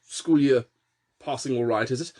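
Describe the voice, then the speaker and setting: Fidgety, fawning little official, read by a man, husky and timid. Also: medium pitch, moderate pace, mellow, natural voice. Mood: nervous. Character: short fidgety nervous male minister, a toady.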